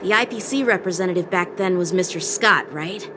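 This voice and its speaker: A woman voicing a polite, reluctant official in a video game, speaking in a measured way. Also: lowish voice, background noise, close to an everyday voice. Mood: worried, hopeful. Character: polite, reluctant female official seeking help.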